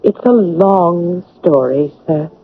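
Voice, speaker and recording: Spirited young governess, tinny broadcast. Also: female voice, lowish voice, slow pace. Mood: tender, steady. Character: spirited, devoted young English governess, steady and resolute. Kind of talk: 1970s-80s radio drama acting. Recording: narrow, tinny old radio broadcast.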